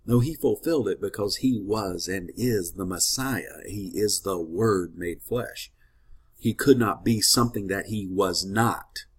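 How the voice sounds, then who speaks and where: A man's voice: deep voice, a little slow, breathy edge. A man leading a casual Bible teaching, slightly congested and sniffling, in a friendly, conversational way with rambling asides. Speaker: ministry teacher.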